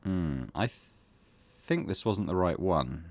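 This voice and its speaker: Low, lively man thinking aloud. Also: takes it slowly, dry recording. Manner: thinking aloud, self-correcting. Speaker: let's play host. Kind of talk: game commentary.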